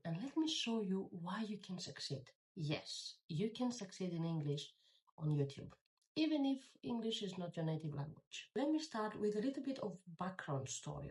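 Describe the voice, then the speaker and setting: A woman's voice: fairly low pitch, darkish tone, low-quality recording. A woman, talking straight to the viewer in an encouraging, motivational vlog. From Greece. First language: Greek. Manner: encouraging, direct, speaks to the viewer. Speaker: YouTuber. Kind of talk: motivational talk to camera.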